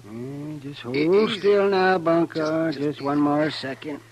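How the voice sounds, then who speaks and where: A man playing a fussy, gleeful town doctor in a 1950s radio western, muttering and halting as he talks. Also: on the high side, lo-fi sound. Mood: absorbed, gleeful.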